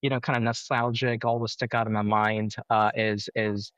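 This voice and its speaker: Halting man from India, dry close sound. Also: lowish voice, little pitch movement, a bit bright, no room sound. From India, raised in Mumbai. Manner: halting, frequent "you know" and repeated words. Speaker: interview guest, cybersecurity professional. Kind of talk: conversational interview answers.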